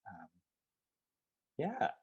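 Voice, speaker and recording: Male guest, community organizer. Mellow, relaxed timbre, reflective and searching delivery. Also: drawn-out words, dull audio. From United States, Ohio. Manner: reflective, thinks aloud with many fillers and restarts. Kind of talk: interview answers.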